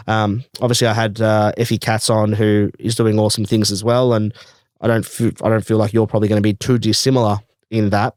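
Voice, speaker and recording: Effusive Australian man with a clear voice. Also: on the low side, no room sound, clean studio sound. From Australia, Melbourne. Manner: effusive, repeats words for emphasis. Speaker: podcast host. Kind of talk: casual podcast interview.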